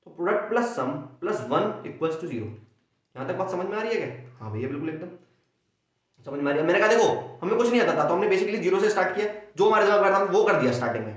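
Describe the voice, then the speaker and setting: A young man with a sore throat races through a maths lesson, bursting with energy and voicing his students' questions and answers himself. His voice sits a little high, and it rings around a very echoey room on a dull, lo-fi recording. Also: very animated, fast talker. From India. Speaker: maths teacher. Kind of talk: fast Hindi exam-prep lesson.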